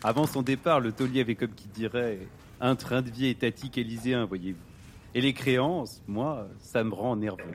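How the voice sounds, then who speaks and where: An actor playing a nervous, obsequious finance minister with florid, roundabout phrasing in a satirical audio drama. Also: male voice, medium pitch, normal speed, slight background noise, close to an everyday voice. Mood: nervous, deferential. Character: nervous, obsequious money-minded government minister. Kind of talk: satirical audio fiction acting.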